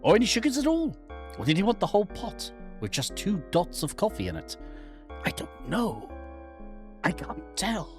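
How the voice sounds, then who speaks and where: A man acting an anxious, tormented submariner, wildly swinging pitch. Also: huge pitch swings, moderate pace, over music. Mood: panicked. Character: anxious, tormented submariner narrating his own humiliation. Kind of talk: dramatic reading of a horror visual novel.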